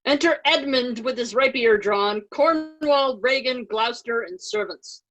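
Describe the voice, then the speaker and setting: Woman reading stage directions, fairly high voice. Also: measured pace, fairly bright tone, low-quality recording. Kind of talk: scripted narration.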